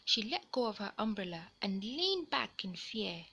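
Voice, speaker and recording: A woman hosting a children's read-aloud, telling a storybook tale in an expressive, deliberate way. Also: mid-range voice, breathy edge. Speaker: host reading stories aloud for children.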